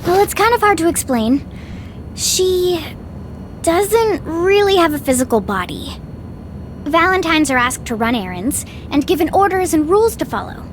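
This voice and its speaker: A woman playing an excitable, romantic young woman in an anime game dub, with a gushing, dramatic way of talking. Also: extremely high pitch, moderate pace, a little hiss or hum. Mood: wistful, open.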